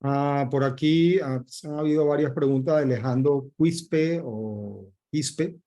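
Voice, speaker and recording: A man's voice: mid-range voice, takes it slowly, mellow. A man moderating a webinar question session, courteous and full of fillers like "pues" and "este".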